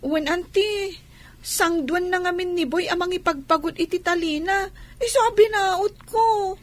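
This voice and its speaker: An anxious young big sister in an Ilocano radio play. The voice is extremely high and childlike, with a plaintive, protective way of talking. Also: female voice, a little slow. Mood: frightened, pleading. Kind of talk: Ilocano radio comedy drama acting.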